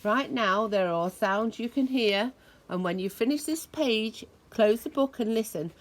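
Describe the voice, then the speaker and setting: Slow-paced woman reading a children's story. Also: on the high side. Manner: deliberate, acts out noises expressively. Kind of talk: read-aloud children's story with imitated sound effects.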